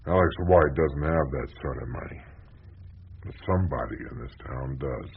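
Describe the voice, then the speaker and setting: An actor playing a world-weary, hard-drinking newspaper reporter in a radio drama, with a sardonic, theatrical, rambling manner. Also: male voice, rumbling low, moderate pace, gravelly, phone-quality sound, a little hiss or hum. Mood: wry, tipsy.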